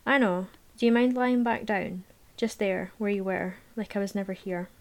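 A woman's voice: mid-range voice, moderate pace, natural voice. A blunt, defensive young student , voiced by a woman narrating a novel. She talks in a self-conscious, awkward way, a little brusque. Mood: apologetic.

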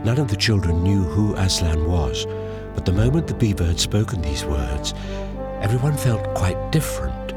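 A man narrating a children's fantasy audio drama as a measured, deliberate storyteller. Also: deep voice, animated. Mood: solemn wonder. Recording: loud music behind the narration.

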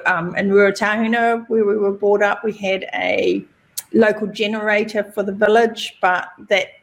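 A woman with a New Zealand accent, a podcast guest telling stories in a relaxed, chatty way. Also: mid-range voice, normal speed, creaky. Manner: chatty, relaxed storytelling with fillers. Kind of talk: casual interview chat.

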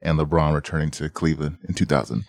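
Man, podcast host, very low voice, dry sound, joking. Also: quick pace, vocal fry, a little mellow, no echo. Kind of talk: casual interview chat.

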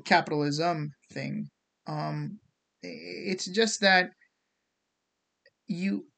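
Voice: mid-range voice, drawn-out words, vocal fry, a bit bright. A speaker of unclear sex, from India, with an American accent that carries traces of Indian, giving a casual, opinionated rant in a theatrical, sarcastic manner. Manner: theatrical, sarcastic, swearing, acts out mock quotes. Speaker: host of the show.

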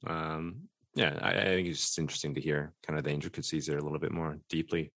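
Low-voiced curious podcast host. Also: male voice, fairly even intonation, fast talker, fairly bright tone, dry recording, clean studio sound. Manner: curious, thinks aloud with fillers. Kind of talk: casual interview talk.

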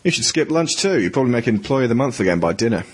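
A man's voice: mid-range voice, big pitch swings, rapid-fire, breathy edge, pitched above the performer's own voice. A man playing a weary, sardonic thirty-year-old worker in an audio drama, with a dry, resigned manner.

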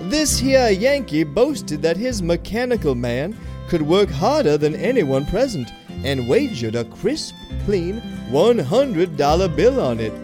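A folksy storyteller played by a man drawls out a tall tale in a rather high, very animated voice. The telling is colloquial and emphatic, with country guitar clearly behind it. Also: a little slow. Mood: folksy, relishing. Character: folksy robot storyteller spinning tall tales. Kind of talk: Southern-flavored folk tale narration.

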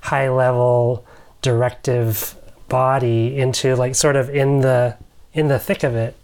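Co-host: woman, extremely low pitch, asks brief clarifying questions, casual interviewer. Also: flat delivery, unhurried, darkish tone. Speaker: podcast co-host.

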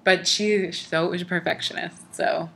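A young woman with a low, bright voice talks in an easy, casual way. She rambles as she goes and fills her sentences with "like" and "you know.". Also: steady tone, moderate pace, gravelly. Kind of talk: casual conversational chat.

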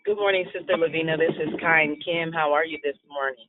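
A man's voice: on the high side, fairly even intonation, brisk, background noise. A man calling into a church prayer line, giving an earnest testimony and encouragement. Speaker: caller. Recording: phone line.

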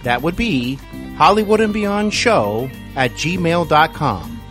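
A man hosting a podcast, speaking in a polite, somewhat halting way. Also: on the high side, lively intonation, music in the background. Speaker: podcast host. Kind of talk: interview talk and show announcements.

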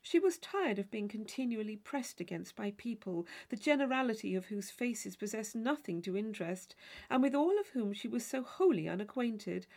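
Woman, audiobook reader, literary narration, highish voice, very varied intonation, noticeable fry. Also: a little mellow. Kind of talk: scripted literary narration of a classic novel.

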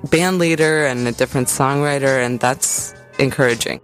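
A woman's voice: very deep voice, normal speed, soft background music. A woman singer-songwriter speaking as an interview guest, chatting informally and thinking aloud as she goes. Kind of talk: casual interview chat.

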